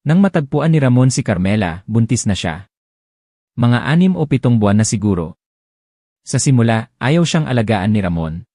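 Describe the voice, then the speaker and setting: A man's voice: mid-range voice, very animated, moderate pace, mellow, no room sound, clean studio sound. A man narrating a romance audiobook, reading dramatically and expressively. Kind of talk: scripted narration.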